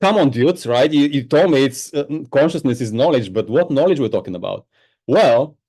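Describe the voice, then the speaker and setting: Bulgarian-born man, warm, mellow voice. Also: mid-range voice. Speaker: guest, spiritual teacher. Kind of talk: podcast interview.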